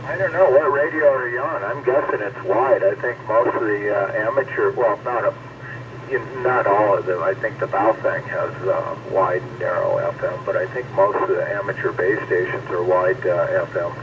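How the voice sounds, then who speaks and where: A man's voice: lowish voice, steady tone, moderate pace, far from the mic. A man in casual technical chat over two-way radio, explaining things hesitantly as a hobbyist. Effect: two-way radio transmission. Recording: dull, noisy two-way radio transmission.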